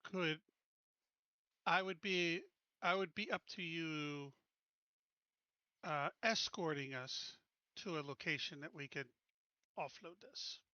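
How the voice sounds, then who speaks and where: A man's voice: a little high, slow pace, pauses often, sharp tone, dry recording, dull audio. A man voicing a guarded, negotiating crew member in a sci-fi tabletop game. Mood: wary.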